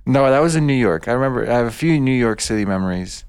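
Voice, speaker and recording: A man born in Bosnia and raised in America, speaking softly and a little hesitantly as a guest in a casual chat. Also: medium pitch, animated, smooth. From born in Bosnia, raised in the United States. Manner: soft-spoken, hesitant, quick short replies.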